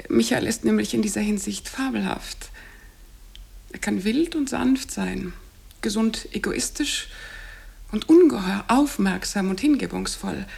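Radio play: meddling married mother, smug, insistent and judgmental, with a rather high voice. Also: creaky, a little mellow. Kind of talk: stage-like radio drama dialogue.

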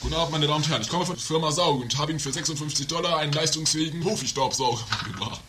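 A man's voice: a little high, racing, sharp tone. A man playing a formal, pushy door-to-door salesman declaiming his patter in an improvised amateur radio comedy. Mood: pompous. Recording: noisy 1980s home-made radio play recording.

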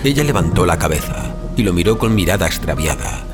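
A man narrating literary fiction for an audiobook in a measured, grave, theatrical manner. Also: low-pitched, lively intonation. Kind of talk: scripted dramatic literary narration. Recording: clear music bed behind narration.

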